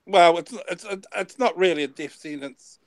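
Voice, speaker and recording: A man's voice: fairly high pitch, normal speed, noisy background. A man in his fifties chatting casually as host, rambling and repeating his words, now and then swearing. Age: 50s. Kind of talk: casual chat.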